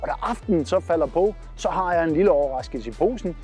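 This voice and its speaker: A man's voice: a little high, animated, darkish tone, soft background music. A man in his forties with a Southern Jutland Danish accent, chatting upbeat to camera outdoors on a lapel mic. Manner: upbeat, chatty, pointing while talking. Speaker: trucker vlog host. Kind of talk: casual vlog narration to camera. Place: outdoors by parked trucks.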